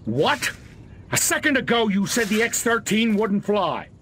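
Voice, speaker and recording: A man playing a blustering, angry middle-aged American military officer in a video game cutscene, gruff and profane. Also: high-pitched, sing-song, unhurried, a bit bright, slight background noise, full character voice. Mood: incredulous.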